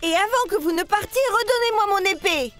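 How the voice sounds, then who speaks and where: A woman's voice: very high-pitched, lively intonation, brisk, fairly bright tone, faint music behind. A woman voicing a brave, loyal young turtle boy with an earnest, emphatic delivery in a French children's cartoon. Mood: defiant.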